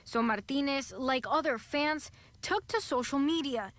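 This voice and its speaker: A woman reporting a news story in a measured, formal broadcast delivery. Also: sits high, a bit bright, dry recording.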